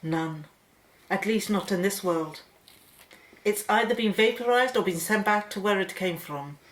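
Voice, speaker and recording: Earnest female scientist played by a woman, mid-pitched, shaken, echoing radio-play sound. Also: measured pace, close to an everyday voice. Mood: sombre. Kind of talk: radio drama acting. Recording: echoey room.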